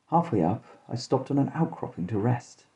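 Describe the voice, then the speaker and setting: Very dark-voiced man telling deadpan tales. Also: medium pitch, moderate pace. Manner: deadpan, mock-dramatic. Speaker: podcast host and storyteller. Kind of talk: scripted comic horror narration in mock-detective first person.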